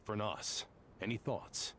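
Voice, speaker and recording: An actor playing a serious, decisive leader in a video game, formal, measured and businesslike. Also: male voice, lowish voice, fairly bright tone, slight background noise. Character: composed authoritative male leader, serious and decisive.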